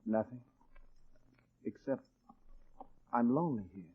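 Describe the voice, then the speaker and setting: A man's voice: medium pitch, unhurried, husky. A man acting a lonely, dreamy young poet in a radio drama, speaking in an earnest, heartfelt way. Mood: wistful, resolute. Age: young adult. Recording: thin, phone-like old radio recording.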